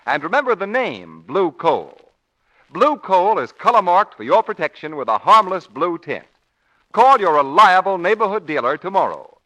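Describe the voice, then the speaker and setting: A man's voice: high voice, very animated, moderate pace, bright, forceful tone. A man announcing in the polished, emphatic sales style of a 1940s radio commercial. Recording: muffled 1940s radio recording.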